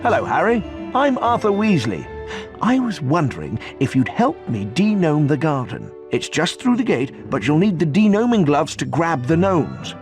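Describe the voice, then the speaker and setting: Amiable middle-aged British wizard dad for a game, highish lively voice, polite, bumbling. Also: male voice, normal speed, over music. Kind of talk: video game character acting.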